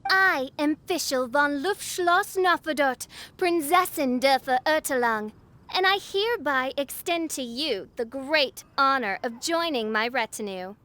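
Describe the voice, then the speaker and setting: A woman's voice: high voice, animated, a little slow, bright, forceful tone, full character voice. A woman playing a theatrical, pompous teenage princess with archaic, melodramatic speech in an anime-style video game. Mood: grandiose. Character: theatrical teenage girl posing as grandiose princess. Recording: music behind the voice.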